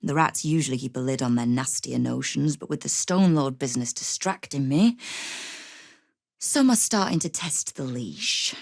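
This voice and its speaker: Casting: tough thieves' guild boss for a game, female, low pitch, wry, controlled menace, dry close recording. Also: moderate pace, gravelly, darkish tone, no room sound, full character voice. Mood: wry, measured. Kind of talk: video game character dialogue.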